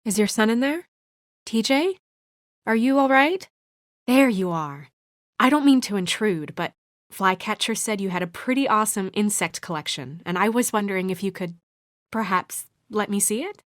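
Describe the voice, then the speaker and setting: An actress playing a brisk, exasperated, dutiful young professional woman in a video game, speaking in a crisp, earnest, businesslike way. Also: mid-range voice, expressive, no echo, studio quality, lightly acted. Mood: gentle, concerned.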